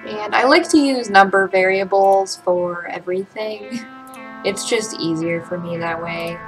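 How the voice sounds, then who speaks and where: A young adult woman narrating a software tutorial step by step, in an informal, matter-of-fact way. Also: medium pitch, measured pace, low-quality recording. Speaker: tutorial creator and channel host. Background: guitar music.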